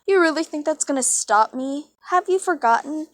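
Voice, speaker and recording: Girl doing a mischievous male chaos villain, high voice, mock innocent, super theatrical, close dry sound. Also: expressive, normal speed, no echo. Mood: smug. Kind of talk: amateur read-aloud of cartoon fan fiction.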